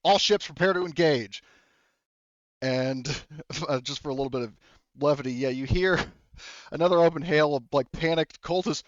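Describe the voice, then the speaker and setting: A man's voice: a little high, fairly even intonation, normal speed, no echo, highs cut off. A man working as game master, narrating a tabletop game casually and informally, often thinking aloud.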